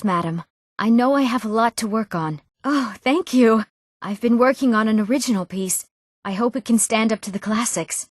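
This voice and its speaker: A woman acting an eager, earnest young woman in a fantasy video game, speaking her lines clearly and a little theatrically. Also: on the high side, no room sound, clean studio sound. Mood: shy, youthful. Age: young adult. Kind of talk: scripted fantasy game dialogue.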